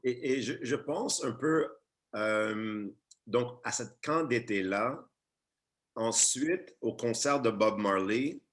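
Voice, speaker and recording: A man's voice: medium pitch, a bit bright. A man from Quebec hosting a dialogue, putting questions with thought and some hesitation. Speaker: host, moderator. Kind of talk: conversational dialogue.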